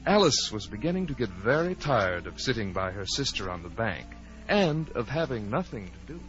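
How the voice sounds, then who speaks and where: Male storybook narrator, mid pitch with lively swings, vintage radio style, muffled recording. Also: normal speed, music in the background.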